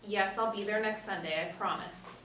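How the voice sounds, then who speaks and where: A woman's voice: mid-range voice, moderate pace, fairly bright tone, lightly acted. A woman playing a stressed, evasive TV actress at a script table read. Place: small room indoors. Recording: roomy, distant mic, faint noise.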